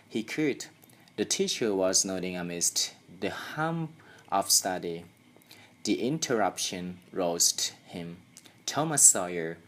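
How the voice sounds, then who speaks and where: Slow, low-pitched young Thai storyteller. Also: fairly bright tone. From Thailand. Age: young adult. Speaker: student audiobook reader. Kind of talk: scripted story narration.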